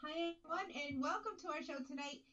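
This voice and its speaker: Brief: chatty female co-host, stuffy with allergies, slightly high voice on a muffled lo-fi mic. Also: quick pace, bright, forceful tone, distant mic. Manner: chatty, laughs often. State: congested from allergies. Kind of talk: casual live show chat. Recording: dull lo-fi sound with faint noise.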